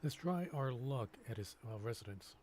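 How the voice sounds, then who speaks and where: A man's voice: medium pitch, animated, moderate pace, a little mellow, slight background noise. A man streaming a video game, reading dialogue aloud and adding casual commentary.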